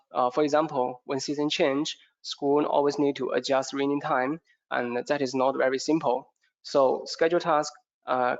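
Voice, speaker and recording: A man presents a product as if in a training session. His voice is low-pitched for a male speaker and creaks with fry. He moves haltingly, hesitating and repeating words as he explains. The audio is dull and lo-fi.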